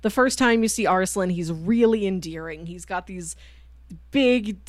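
A woman co-hosting a book podcast in casual conversation, telling stories in an animated, informal way. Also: mid-range voice, a little slow, no echo, clean studio sound. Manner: animated storyteller, acting out quoted lines informally. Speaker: podcast co-host reviewing books.

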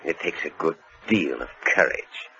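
Smooth, sharp-voiced radio crime villain. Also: male voice, rumbling low, lively intonation, moderate pace, faint music behind, full character voice. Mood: cold, threatening. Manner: menacing, mocking, wordy. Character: smooth, cold-blooded male racketeer and blackmailer. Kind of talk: old-time radio crime drama acting. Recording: muffled vintage radio recording.

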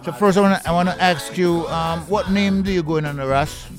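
A man's voice: high voice, brisk, music in the background. A man hosting a radio interview, chatty and casual, often repeating himself. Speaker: radio host.

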